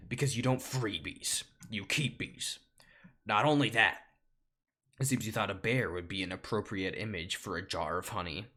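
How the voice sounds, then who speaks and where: A man's voice: fairly low pitch, normal speed, pushed and bright. A man voicing an earnest, indignant little honeybee pleading in court, in an amateur solo script reading. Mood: accusing.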